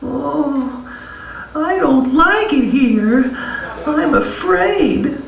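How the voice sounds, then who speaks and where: A man's voice: very high-pitched, lively intonation, drawn-out words, gravelly, exaggerated voice. A man voicing a fearful little female lamb puppet in a comic stage show, whimpering and hesitant. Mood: timid. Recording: echoey live hall, distant, faint noise.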